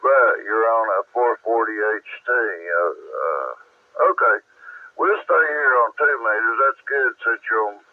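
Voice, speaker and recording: A tinny, phone-like radio transmission carries a man's voice, sounding far from the mic. He talks in a folksy, halting way, chatting casually with a fellow ham operator. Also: fairly low pitch. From Virginia, United States. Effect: two-way radio.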